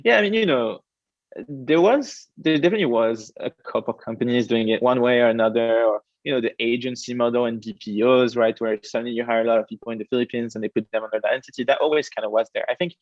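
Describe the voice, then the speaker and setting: A young man from France, a startup co-founder, answering interview questions quickly and animatedly, with frequent fillers. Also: mid-range voice, dry recording. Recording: dull, dry lo-fi audio.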